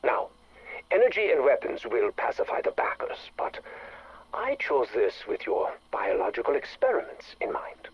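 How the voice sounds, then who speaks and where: A polished, ruthless benefactor of dark experiments in a game. His husky voice is formal and persuasive, with menace under the courtesy. The recording is dry and dull, like a radio log. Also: medium pitch, huge pitch swings, moderate pace, no room sound, full character voice. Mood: courtly, flattering. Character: smooth-talking, ruthless male patron of secret science. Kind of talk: dramatic game voice acting.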